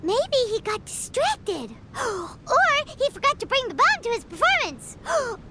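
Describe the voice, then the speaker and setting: A woman voicing a tiny, excitable, childlike fairy companion in an exclaiming video game style. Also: very high-pitched, unhurried, heavy background noise, exaggerated voice. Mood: puzzled, chatty. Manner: refers to herself in third person, exclaiming. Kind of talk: English video game voice acting.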